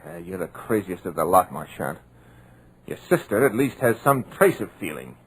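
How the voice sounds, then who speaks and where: A tough-talking, wisecracking writer with a husky, lowish voice. He talks in a dry, hard-boiled manner. The sound is that of an old radio play recording. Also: sing-song, normal speed, heavily acted, low-quality recording. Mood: wry. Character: wry, trapped male screenwriter, tough-talking. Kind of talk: 1950s radio drama acting.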